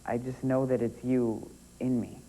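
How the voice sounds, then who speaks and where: A young man acting a grieving son in an earnest, halting, emotional stage monologue addressed to his lost father. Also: medium pitch, level tone, mellow, a little hiss or hum, natural voice, rough recording. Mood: wistful, searching.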